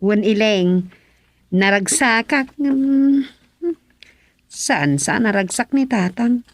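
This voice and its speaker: An inquisitive, no-nonsense village woman in a radio comedy drama. Her voice sits slightly high and is captured close, with a dry studio sound. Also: animated, slow pace, no room sound.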